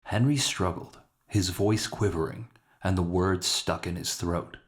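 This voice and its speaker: A man's voice: low-pitched, steady tone, unhurried. A man reading scripted fiction as the narrator of his own short story.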